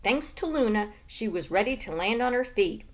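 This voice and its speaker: A woman reading a children's book aloud as expressive storybook narration. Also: mid-range voice, moderate pace.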